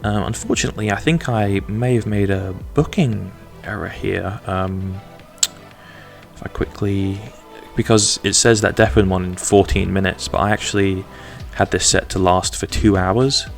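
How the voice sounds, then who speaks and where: A man's voice: deep voice, steady tone, normal speed, creaky, faint music behind. A man casually recapping a wrestling sim game he is playing, chatty and rambling with self-deprecating asides. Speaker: gaming video host.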